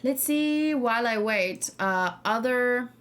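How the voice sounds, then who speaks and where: Higher-pitched woman chatting casually on stream. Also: animated, takes it slowly, fairly bright tone. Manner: informal, musing, thinking aloud. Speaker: streamer and reaction host. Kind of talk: casual livestream game discussion.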